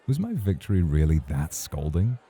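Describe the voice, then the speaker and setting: A man plays a charming, teasing club champion in a romantic ASMR roleplay. His voice is extremely low, very dark and husky. He talks in a formal, courtly way. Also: very animated, moderate pace, exaggerated voice. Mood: smug, teasing. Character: suave, teasing champion male club host, smoothly charming.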